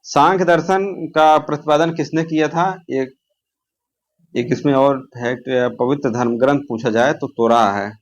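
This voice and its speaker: A man's voice: mid-range voice, fairly even intonation, normal speed. Man teaching, question and answer. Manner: didactic, asks questions then answers them, repeats key terms. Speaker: exam coaching teacher. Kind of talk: informal exam-prep lesson, question and answer.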